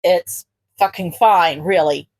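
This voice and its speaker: A woman voicing a gruff, crass male superhero in a story, blunt and casual. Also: on the low side, slow, darkish tone, voice pushed down. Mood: gruff, dismissive.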